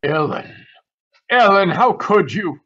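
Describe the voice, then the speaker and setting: An actor playing a stern, wounded colonel uncle in melodramatic old-time radio style, over muffled video-call audio. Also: male voice, high voice, expressive, slow, a bit bright, exaggerated voice. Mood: shocked reproach.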